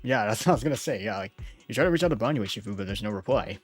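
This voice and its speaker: A man's voice: fairly low pitch, expressive, racing, faint music behind. A man doing casual gameplay commentary as a streamer, joking and slangy, often chuckling.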